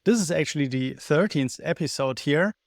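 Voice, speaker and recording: A man's voice: fairly high pitch, animated, moderate pace, dry recording, clean studio sound. A man hosting a podcast, introducing his guest and asking friendly questions. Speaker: podcast host.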